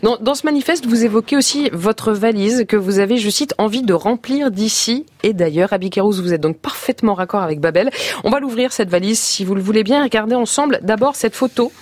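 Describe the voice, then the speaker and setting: A woman's voice: medium pitch, a little fast, a little mellow. A woman hosting a radio programme, speaking articulately and lyrically, moving between scripted poetic text and interview questions. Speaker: radio host. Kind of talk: poetic scripted monologue, then warm interview.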